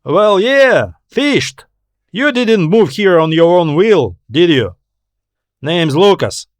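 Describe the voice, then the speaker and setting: A breezy castaway man, as a male narrator plays him in a sci-fi audiobook. He talks in a wry, slangy, offhand way, with his pitch lifted. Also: very animated, slow pace, no room sound, studio quality, full character voice. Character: Lucas, breezy sardonic tall castaway man. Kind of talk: audiobook character dialogue.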